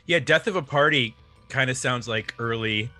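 A man casually discussing music as a podcast host, talking in a hesitant, halting way. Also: mid-range voice, pushed and bright.

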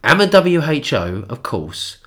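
A man talks through a heavy cold, his voice stuffy and nasal. His pitch swings widely as he mocks and swears his way through casual podcast chat. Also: very animated, a little slow. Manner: sweary, mocking, jumps into high mimicking voices. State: blocked nose from a cold. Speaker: podcast co-host.

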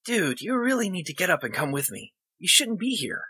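A man's voice: high-pitched, very animated, brisk, pushed and bright, breathy edge, voice pushed up, exaggerated voice. A man voicing a bossy, casual young boy in a story reading, talking in slangy, matter-of-fact phrases. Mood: urgent, bossy.

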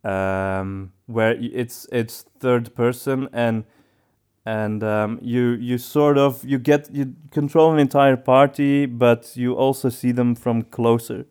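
A man co-hosting a casual podcast, speaking in a halting way as he thinks aloud. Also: mid-range voice, steady tone, darkish tone, clear, resonant tone. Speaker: podcast co-host.

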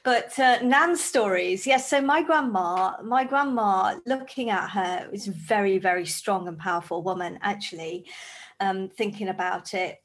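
A woman's voice: medium pitch, unhurried. A woman comedian who grew up in Zambia, chatting as a podcast guest in a bubbly way and thinking aloud as she goes. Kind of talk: casual interview chat.

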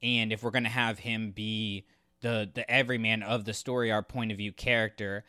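A man co-hosting a podcast, talking casually about books in a halting, thinking-aloud way. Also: fairly low pitch, little pitch movement, sharp tone, clean tone, dry recording, clean studio sound. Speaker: podcast co-host reviewing books. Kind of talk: casual discussion and commentary.

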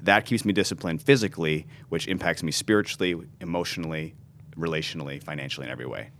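Man, 30s, very low voice, pastor, earnest interview storytelling, repeats words. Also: fast. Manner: earnest, restarts words when excited. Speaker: pastor and ministry founder.

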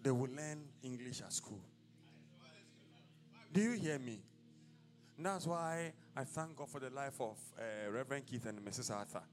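A man's voice: mid-range voice, a little slow, long pauses, soft background music. A man with a Ghanaian accent, an apostle preaching a sermon in a church service, declaiming in rising bursts and dropping into casual asides.

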